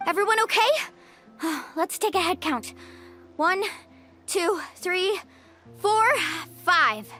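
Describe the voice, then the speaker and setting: A woman voicing an upbeat, confident young adventure guide in an anime-style video game, lively and take-charge. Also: very high voice, slow pace, pauses often, soft background music, heavily acted.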